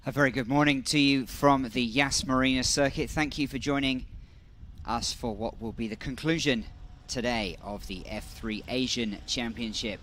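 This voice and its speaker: A man giving live motorsport commentary as the race commentator, brisk and informative, leaning into the key moments. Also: medium pitch, steady tone, a bit bright, slight background noise.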